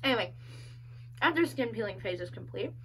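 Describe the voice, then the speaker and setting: A woman performing a dark comedic monologue as a playful, sadistic female killer taunting her captive, whispering menace between loud bursts. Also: medium pitch, lively intonation, a little fast, slight background noise, low-quality recording. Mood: gloating menace.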